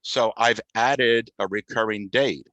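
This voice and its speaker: A man giving an informal technical demo as a podcast guest, explaining things in a halting way. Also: on the low side, a bit bright, no echo. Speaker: podcast guest demonstrating software.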